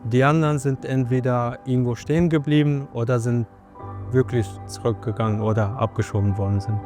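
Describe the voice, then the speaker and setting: A man gives a documentary interview in a calm, measured way. His pitch is average. Clearly audible music runs under his words.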